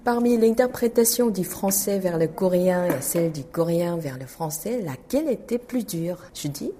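Polite low-voiced woman host. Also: lively intonation, normal speed, warm tone, slight background noise. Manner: polite, formal. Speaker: radio host. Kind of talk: interview questions.

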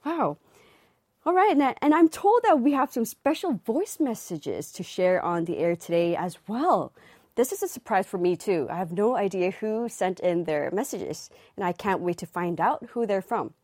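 A woman's voice: mid-range voice, sing-song, normal speed, darkish tone, no echo. A woman DJ hosting a live radio show in the studio, reading out listener messages in a bubbly, nervous way on her first broadcast.

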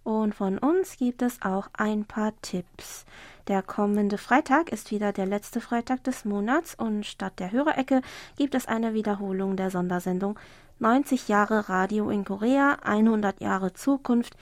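A woman's voice: medium pitch, unhurried, no echo. A woman presenting a radio program from a studio, announcing upcoming shows and news.

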